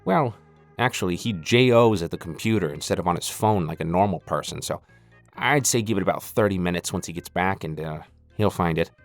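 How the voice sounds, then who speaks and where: A man's voice: low-pitched, a little fast, faint music behind. A man playing a cocky, goofy intruder who has hijacked an audio show, stammering and rambling irreverently as he addresses the listener. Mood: sincere, awkward. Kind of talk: comedic monologue addressed to the listener.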